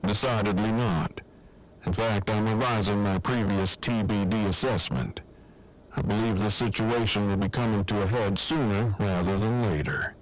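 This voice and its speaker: A man acts out an earnest survivor in an audio drama. His voice sits fairly low, and he speaks in stiff, wordy, precisely worded sentences through a walkie-talkie radio. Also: fairly even intonation, moderate pace, rough recording. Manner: stiff, verbose, precise diction. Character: formal, long-winded, earnest male survivor. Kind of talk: fan audio drama acting.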